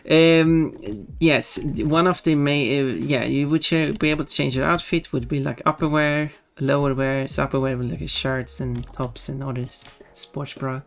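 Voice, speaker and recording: Rambling casual streamer over background music. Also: medium pitch. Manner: rambling, self-correcting, giggly asides. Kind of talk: casual livestream chat. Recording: music behind the voice.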